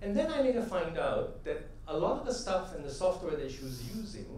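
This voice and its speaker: A man's voice: high-pitched, big pitch swings, fairly quick, a little mellow, slight background noise. Middle-aged man speaking in an echoey hall. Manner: conversational storyteller, often tags "right?"; builds to rhythmic, emphatic punchlines. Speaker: convention speaker. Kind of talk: motivational conference talk with anecdotes. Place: large convention hall. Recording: echoey hall, voice from a distant mic.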